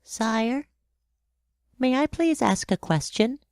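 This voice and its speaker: Timid, high-voiced little prince. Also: female voice, expressive, slow pace, a little mellow, dry recording, studio quality. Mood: timid, curious. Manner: hesitant, timid. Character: timid, polite, tired little prince, a young boy. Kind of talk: theatrical radio drama acting.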